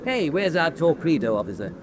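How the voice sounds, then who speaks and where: An actor playing a cocky, hard-drinking submarine commander, jovial, teasing and theatrical. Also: male voice, on the high side, very animated, fairly quick, heavy background noise. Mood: teasing, tipsy. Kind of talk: dramatic radio acting.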